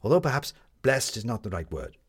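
A man's voice: mid-range voice, big pitch swings, fairly quick, breathy edge, pitched above the performer's own voice. A man voicing a commanding, wry woman reporter in a story, articulate and sardonic as she lectures. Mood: scornful.

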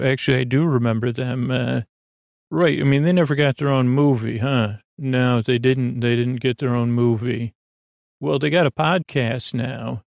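A man hosting a bedtime podcast in a meandering, halting monologue, repeating himself and trailing off. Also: medium pitch, vocal fry, no room sound, clean studio sound. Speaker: sleep podcast host.

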